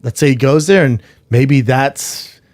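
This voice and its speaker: Podcast guy, mid-pitch, dry close mic, swears a lot and jokes around. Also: unhurried, darkish tone, no room sound. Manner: joking, swears freely, blunt. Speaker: podcast co-host. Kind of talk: casual chat.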